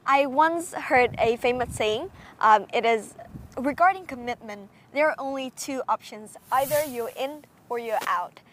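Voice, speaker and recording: A young woman reporter leads a campus tour, chatty and upbeat. Her voice sits fairly high, and she fills pauses with soft ums as she asks questions. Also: slight background noise. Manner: upbeat, frequent um fillers. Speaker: field reporter. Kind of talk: hosted campus tour interview.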